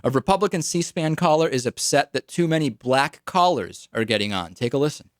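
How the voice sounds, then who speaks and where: A man's voice: a little high, expressive, a little fast, dry recording. American man hosting political radio, dry sound. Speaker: radio talk show host. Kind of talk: political commentary.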